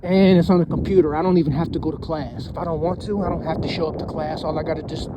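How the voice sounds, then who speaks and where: A man's voice: on the high side, fairly even intonation, fast, dull audio, heavy background noise. A man of thirty, giving a casual, rambling motivational talk and often tagging lines with "man" and "you know what I'm saying". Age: 30s. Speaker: vlogger.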